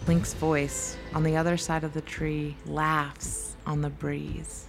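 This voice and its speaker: Deep-voiced woman narrator, measured lyrical storytelling, music clearly behind her. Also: flat delivery. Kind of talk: scripted audio drama narration.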